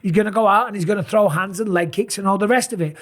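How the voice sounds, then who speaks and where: A middle-aged man with a raspy, sore voice, tired and talking in an animated, casual way as he breaks down fight matchups. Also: high-pitched, quick pace. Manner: animated, emphatic, rambling asides. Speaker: MMA analyst and channel host. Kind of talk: casual fight commentary to camera.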